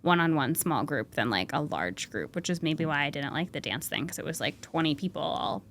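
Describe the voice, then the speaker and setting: A woman's voice: low voice, animated, fairly quick, fairly bright tone, slight background noise. A woman from Rhode Island, guest on a podcast, friendly and thinking aloud, often laughing. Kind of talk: casual interview.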